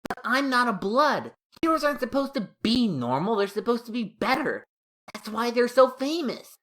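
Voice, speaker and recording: A woman's voice: fairly high pitch, big pitch swings, normal speed, a bit bright. A woman playing a cocky, argumentative young superhero fan in a comic dub, brash and slangy. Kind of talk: comic dub character acting.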